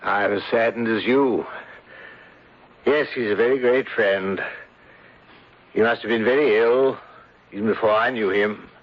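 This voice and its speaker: A courteous villain of a radio mystery, speaking rather low in formal, aggrieved phrases. He sounds plausible and falsely regretful. The broadcast is old and dull. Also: a little slow, pauses often. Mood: mournful. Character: smooth, plausible gentleman villain, falsely sorrowful. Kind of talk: old-time radio drama acting.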